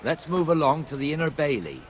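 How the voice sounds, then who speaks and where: An actor playing a blunt, gruff, conversational town guardsman in a video game. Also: on the high side, big pitch swings, a little fast, slight background noise. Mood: chatty. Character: gruff town guardsman, chatty, contemptuous of beggars.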